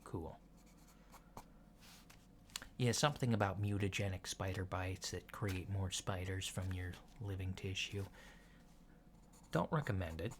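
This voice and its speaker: A man playing a polite, curious alien doctor in a soft-spoken ASMR roleplay, talking in a halting, matter-of-fact way. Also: deep voice. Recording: lo-fi with clear background noise.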